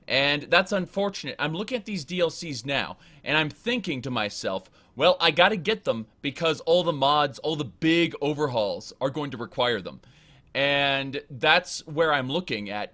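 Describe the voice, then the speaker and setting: A man chatting casually on a podcast about games he covers in his videos, in a measured, slightly formal way. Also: a little high, bright, forceful tone. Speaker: podcast guest, lore and modding video creator.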